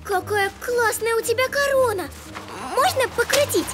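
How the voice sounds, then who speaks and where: A woman's voice: extremely high pitch, normal speed. A woman voicing a cheeky young female porcupine trickster in a children's cartoon, showy and coaxing. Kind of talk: animated children's cartoon acting. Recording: music behind the voice.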